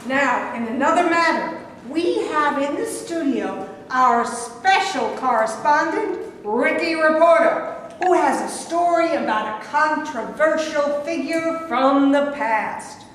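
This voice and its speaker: Woman acting a high-voiced sports anchor, echoey hall. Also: lively intonation, slow. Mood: composed, brisk. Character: composed female sports show anchor. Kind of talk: staged reading of a scripted broadcast. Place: hall at a staged reading. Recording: very echoey hall, distant mic, faint noise.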